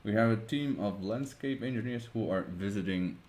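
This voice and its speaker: A man with a Dutch accent, a Dutch native speaker, narrating a screen-recorded web design tutorial, thinking aloud with many pauses and fillers. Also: lowish voice, a little mellow.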